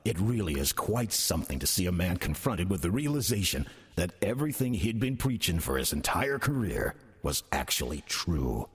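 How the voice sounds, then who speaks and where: An actor playing a weary, sardonic demon posing as a church deacon in an audio drama, dry, urbane and condescending. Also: male voice, sing-song, normal speed, few pauses, no room sound, heavily acted. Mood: wry, confiding. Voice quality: husky, low voice. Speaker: narrator and lead character of audio drama. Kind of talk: audio horror-comedy drama acting.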